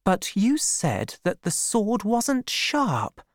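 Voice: mid-range voice, big pitch swings, measured pace, smooth, dry recording, clean studio sound, voice pushed up. A reader voicing a proud, fiery young woman farmer with emphatic, emotional delivery in a storybook. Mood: defensive.

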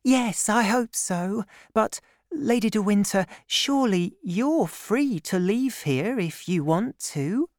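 A woman reading aloud and voicing a gentle, trusting young woman who talks in an eager, breathless way. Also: mid-range voice, lively intonation, slow pace, few pauses, dry recording, studio quality, voice pushed up. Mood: earnest.

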